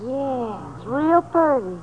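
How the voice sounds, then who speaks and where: High-voiced, timid Southern teenage girl. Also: expressive, takes it slowly, narrow, tinny audio. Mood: wary, surprised. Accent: Southern American. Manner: simple, unsure country talk, many questions. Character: naive, timid fifteen-year-old rural Texas farm girl. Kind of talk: 1950s radio drama acting. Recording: noisy old-time radio recording.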